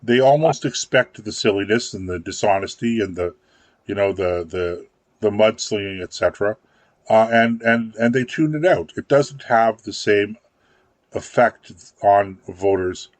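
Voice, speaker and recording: A man with a fairly low voice, talking politics on a radio show. He rambles a bit and doubles up his words as he thinks, and the sound is dry and close to the mic. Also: unhurried, no echo. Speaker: radio talk show co-host. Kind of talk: casual political chat.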